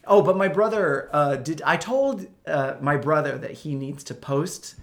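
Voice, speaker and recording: A man's voice: on the high side, unhurried. A man hosting a casual gaming podcast, chatty and rambling, laughing often. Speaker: podcast host. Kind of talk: casual chat.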